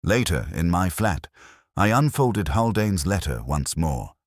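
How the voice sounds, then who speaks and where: A man reading a scripted first-person detective story in a measured, brooding, reflective way. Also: deep voice, sing-song, no room sound, clean studio sound. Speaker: narrator.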